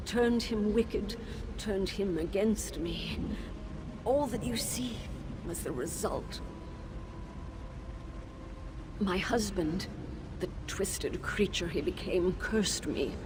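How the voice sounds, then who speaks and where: Fantasy game ghost, played by a woman: wronged wife and teacher, grieving, lowish female voice, theatrical gravity, noisy backdrop. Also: lightly acted. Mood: mournful, despairing. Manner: mournful, solemn, dramatic. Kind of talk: fantasy video game voice acting.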